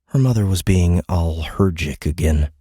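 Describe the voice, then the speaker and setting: Male narrator, low voice, husky grain, scripted storytelling, very dry close sound. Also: a little slow, warm tone, no echo. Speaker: audiobook narrator.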